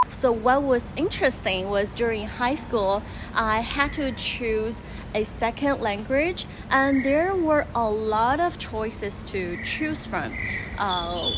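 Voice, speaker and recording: A young woman from Taiwan whose first language is Chinese, telling her story and giving advice as a channel host in a chatty, teacherly way. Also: on the high side. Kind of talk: casual vlog storytelling. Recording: noticeable background noise.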